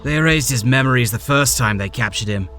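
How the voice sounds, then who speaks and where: An actor voices a grim rebel who guides the heroes. He is earnest and commanding, with a mid-pitched voice. Faint music runs beneath him. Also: a little fast, fairly bright tone, lightly acted. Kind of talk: audio drama acting.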